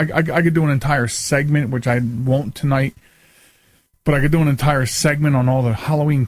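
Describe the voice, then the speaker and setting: A man's voice: mid-range voice, no room sound. A man hosting a radio talk show in casual, informal chat, often repeating and rephrasing himself.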